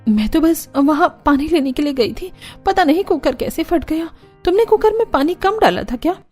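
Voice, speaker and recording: A woman's voice: high-pitched, animated, moderate pace, a little mellow, soft background music, pitched above the performer's own voice. A woman voicing a jealous but remorseful elder daughter-in-law in a cartoon drama, speaking plainly and earnestly. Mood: puzzled. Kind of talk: Hindi cartoon family drama.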